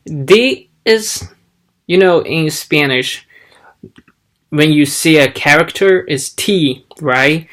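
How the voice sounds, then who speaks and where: Slow halting man. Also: on the high side, fairly bright tone. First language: Chinese. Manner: slow, halting, demonstrates sounds aloud. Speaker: language learner vlogger. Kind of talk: casual teaching vlog.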